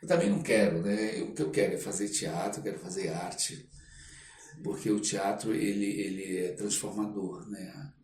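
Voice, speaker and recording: An older man with a Brazilian accent, telling personal anecdotes as an interview guest in a halting, reflective way. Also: lowish voice, a little mellow. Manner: halting, reflective, frequent tag questions. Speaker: interview guest, actor and storyteller. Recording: roomy echo, clear background noise.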